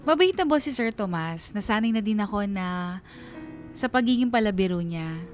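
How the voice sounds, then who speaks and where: A woman's voice: mid-range voice, animated, normal speed, faint music behind, close to an everyday voice. A woman playing a reflective single mother who narrates her own story in a radio drama. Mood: fond, reflective. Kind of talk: dramatized first-person narration.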